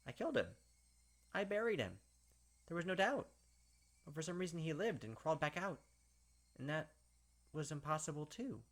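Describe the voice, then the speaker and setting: A man's voice: a little high, big pitch swings, normal speed, long pauses, fairly bright tone, voice pushed up. A man narrating a visual novel as a distraught, obsessive young killer who doubts his sanity, brooding and repeating himself insistently. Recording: game noise behind the voice.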